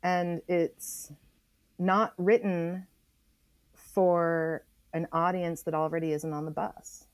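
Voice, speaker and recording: Lower-pitched woman, halting and thoughtful. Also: level tone, no echo. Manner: halting, repeats words as she forms thoughts. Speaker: guest author. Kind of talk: thoughtful podcast interview conversation.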